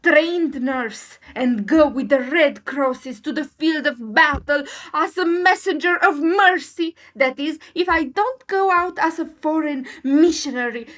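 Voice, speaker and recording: A woman's voice: high voice, fairly bright tone, pitched above the performer's own voice. A woman voicing an earnest, dreamy, chattering schoolgirl in a storybook reading, effusive and wordy.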